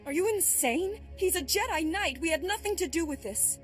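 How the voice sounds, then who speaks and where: A woman's voice: very high-pitched, expressive, normal speed, soft background music. A woman playing an earnest, shaken research worker in a video game, with a dramatic delivery. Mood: panicked. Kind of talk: scripted video game acting.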